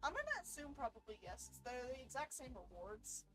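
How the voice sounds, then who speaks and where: A woman's voice: high voice, wildly swooping pitch, fairly quick, fairly bright tone, soft background music. A woman giving casual gameplay commentary, informally thinking aloud as she plays. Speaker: gamer playing a role-playing game for viewers.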